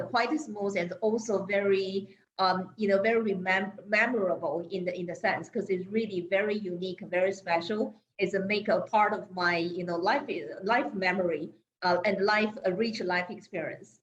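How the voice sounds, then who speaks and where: Woman bank president, halting speech. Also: mid-range voice, fairly even intonation, dull audio. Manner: halting, many fillers and restarts. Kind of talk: interview answers.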